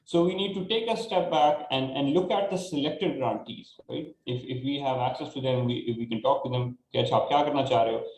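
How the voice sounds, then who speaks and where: A man with a Pakistani accent giving informal panel advice, haltingly repeating words and often checking in with "right?". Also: medium pitch, a little mellow. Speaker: panelist, startup incubator staff. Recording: roomy, echoing call audio.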